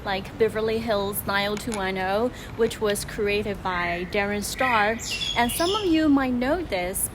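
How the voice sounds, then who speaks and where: A young woman from Taiwan whose first language is Chinese, telling her story and giving advice as a channel host in a chatty, teacherly way. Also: medium pitch. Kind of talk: casual vlog storytelling. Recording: noticeable background noise.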